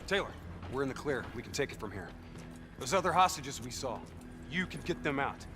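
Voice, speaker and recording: A male actor as an earnest, principled soldier in a video game. His mid-pitched voice moves a lot in intonation as he insists, with music playing behind him. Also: expressive, fairly quick, close to an everyday voice. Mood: earnest, reproachful. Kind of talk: dramatic video game dialogue.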